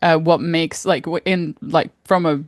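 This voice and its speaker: Female podcast co-host, low-pitched voice, loose rambling conversational delivery, dry close sound. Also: steady tone, measured pace, dry recording. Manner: informal, rambling, frequent 'like', mild swearing. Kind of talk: casual podcast chat.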